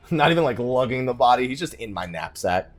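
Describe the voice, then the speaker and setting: A man's voice: medium pitch, big pitch swings, normal speed, faint music behind. A man hosting a let's play with casual gaming commentary, thinking aloud and muttering asides to himself.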